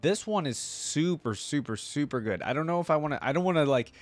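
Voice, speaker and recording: A man's voice: fairly high pitch, lively intonation, a little fast, no room sound. A man hosting a reaction video, giving casual, exclamatory commentary and repeating words for emphasis. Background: music playing behind the voice.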